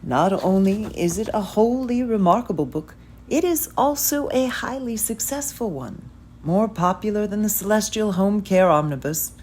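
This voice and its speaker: A woman giving a scripted literary narration, reading a story in measured, deliberate phrases with pauses. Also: medium pitch, lively intonation, a little mellow, slight background noise.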